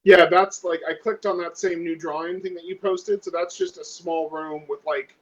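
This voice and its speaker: A man's voice: on the high side, fairly even intonation, moderate pace, fairly bright tone. A man playing a tabletop game online, chatting casually, heard through muffled call audio.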